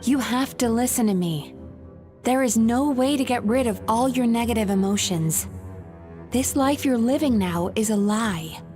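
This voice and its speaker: An actress playing a composed, perceptive and protective therapist in a video game, with a calm, serious manner. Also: female voice, fairly high pitch, a little slow, soft background music, close to an everyday voice. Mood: earnest.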